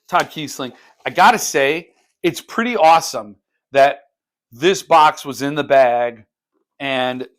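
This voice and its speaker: A man's voice: medium pitch, fairly bright tone. A man talking casually and unscripted as a book vlogger, thinking aloud in broken, conversational phrases. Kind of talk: casual unscripted talk to viewers.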